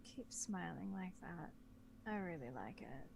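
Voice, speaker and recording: A woman's voice: fairly low pitch, animated, unhurried, gravelly. Woman reading dialogue over noticeable noise. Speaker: book club co-host. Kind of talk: reading dialogue with teasing interjections. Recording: noticeable background noise and ambience.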